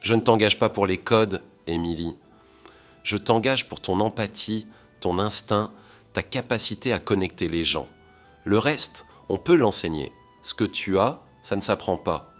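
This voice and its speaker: Deep-voiced hotel magnate, calm and sincere, intimate delivery, read by a male narrator. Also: unhurried, faint music behind. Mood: earnest. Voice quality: deep, warm. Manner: low and intimate.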